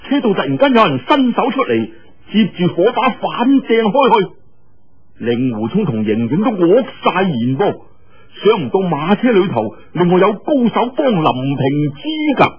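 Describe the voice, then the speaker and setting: A man's voice: high voice, big pitch swings, normal speed, slightly rough, dull audio. A man with a Cantonese accent telling a martial-arts tale in a dramatic, colloquial, exclamatory style. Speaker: storyteller. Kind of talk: animated martial-arts storytelling.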